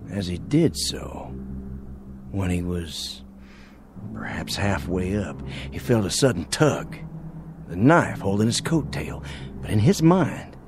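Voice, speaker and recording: Slow, low-voiced earnest frontier man. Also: expressive, background noise. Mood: grave, explaining. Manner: deliberate, explanatory, dramatic pauses. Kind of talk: radio drama acting. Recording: music bed under the dialogue.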